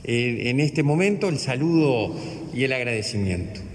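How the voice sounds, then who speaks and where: A man's voice: medium pitch, lively intonation, normal speed. A man, an education official, gives a formal address in long, ornate sentences. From Uruguay. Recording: echoey hall, faint noise.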